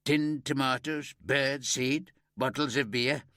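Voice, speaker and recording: A man's voice: fairly even intonation, slow, no room sound, clean studio sound. A man doing a grieving old Yorkshire ex-miner. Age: older. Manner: broad and homely, higher and warmer than the narrator.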